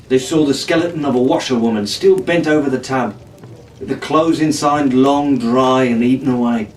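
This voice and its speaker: A man narrates a storybook from a script in a mid-pitched voice. The room is echoey and he sits some way from the mic, with faint hiss behind him. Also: a little mellow, far from the mic. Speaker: audiobook reader.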